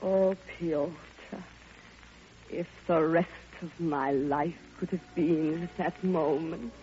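An actress playing a lonely, lovestruck older Russian widow in an old radio drama, theatrical and yearning, with halting repetitions. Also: female voice, low voice, steady tone, mellow. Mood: aching longing. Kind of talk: classic radio drama monologue. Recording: muffled old radio recording, music behind.

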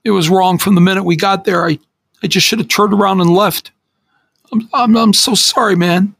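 A man's voice: sits high, measured pace, breathy edge, no room sound, studio quality, pitched above the performer's own voice, heavily acted. A man voicing a frightened fifteen-year-old boy who is crying, stammering and pleading. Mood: sobbing, pleading.

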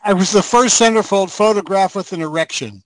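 A man's voice: high-pitched, fairly quick. An older man joining a show remotely, telling stories in a jokey, chuckling way. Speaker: guest. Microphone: remote call mic.

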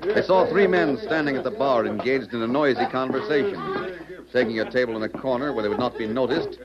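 A man narrating an old-time radio western adventure in a clear, dramatic announcer's manner. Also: mid-range voice, fairly quick, a loud crowd, close to an everyday voice. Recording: muffled vintage radio recording with music.

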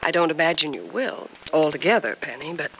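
Bright, kindly radio-drama nurse. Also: female voice, low-pitched, animated, a little fast. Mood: gently probing. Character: kindly, sensible, caring woman nurse and old friend. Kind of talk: old-time radio soap opera acting. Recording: noisy vintage radio drama recording.

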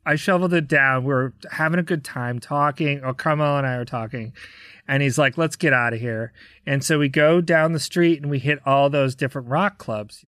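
An American man, a musician being interviewed, telling anecdotes in a casual, animated way and acting out the dialogue. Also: mid-range voice, normal speed, no echo, clean studio sound. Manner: conversational, acts out quoted dialogue. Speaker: interview guest, rock drummer.

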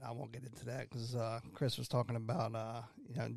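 A man's voice: medium pitch, flat delivery, brisk, vocal fry, darkish tone, no echo, clean studio sound. A man co-hosting a podcast, chatting casually in an emphatic, agreeable way. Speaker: podcast co-host. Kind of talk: casual chat.

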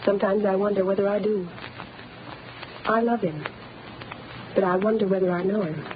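An actress playing a composed, kindly, mysterious young lady in old radio drama, speaking earnestly and with measured poise. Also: medium pitch, steady tone, close to an everyday voice. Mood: wistful. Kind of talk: 1930s radio drama acting. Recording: muffled old radio recording, clear hiss.

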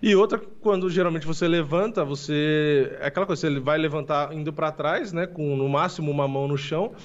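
A man's voice: on the high side, lo-fi sound. A Brazilian man casually breaking down fights in a podcast chat, analytical and full of filler tags. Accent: Brazilian Portuguese. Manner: fast, analytical, lots of "né" and "tipo". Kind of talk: casual MMA discussion podcast.

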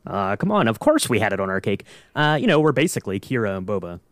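A man's voice: on the low side, sing-song, quick pace, no room sound. A man on a podcast panel, chatting casually in an easygoing, joking way. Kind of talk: casual chat.

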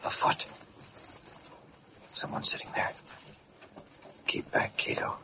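An actor playing a commanding newspaper boss and crime fighter in American radio drama, brisk and authoritative. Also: male voice, lightly acted. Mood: hushed, urgent. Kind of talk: old-time American radio drama acting. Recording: muffled, hissy vintage radio recording.

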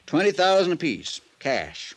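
A man's voice: on the high side, huge pitch swings. An American actor playing a worried, folksy Western insurance man in a 1950s radio drama. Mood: recounting. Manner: folksy, grave, dramatic pauses.

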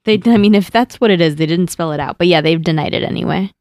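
A podcast co-host chatting casually, relaxed and explanatory. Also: medium pitch, fast, no echo, clean studio sound. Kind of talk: casual chat.

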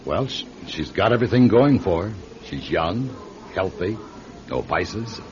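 A man playing a calm doctor in a radio drama, measured, reassuring and firm, with gentle authority. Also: sits low. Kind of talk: classic radio drama acting. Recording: dull, noisy old radio recording.

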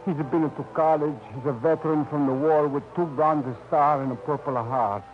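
A man's voice: fairly high pitch, steady tone, normal speed, faint music behind, lightly acted. An actor playing a worried old Italian immigrant father with an Italian accent and broken English, pleading anxiously, in 1940s radio acting. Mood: proud. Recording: muffled old radio recording.

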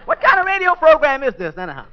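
A man's voice: very high voice, wildly swooping pitch, quick pace, exaggerated voice. An actor playing a droll, skeptical waiter who needles his boss in folksy grammar, in a 1940s radio comedy. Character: dry, skeptical male waiter puncturing his boss's bragging. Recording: dull, lo-fi 1940s radio recording.

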